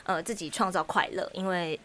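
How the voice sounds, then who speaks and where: A woman's voice: on the low side, fairly even intonation, a little fast, fairly bright tone, slight background noise. A woman with a Taiwanese accent hosting a casual podcast chat, effusive and encouraging. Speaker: podcast host.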